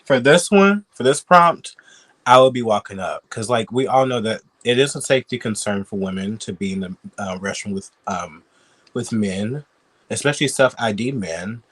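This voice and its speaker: A man's voice: on the low side, expressive, moderate pace, a bit bright. A man who is sick and sniffly, chatting bluntly and casually as a YouTube reaction commentator. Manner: chatty, blunt, swears freely.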